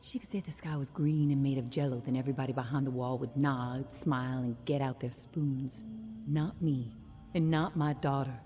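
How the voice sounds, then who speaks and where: Game survivor mother, woman, dark low voice, deliberate, blunt, defiant, faint background noise. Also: steady tone. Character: defiant, hardened survivor mother, fiercely protective, confessing. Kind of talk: dramatic video game monologue, recorded message.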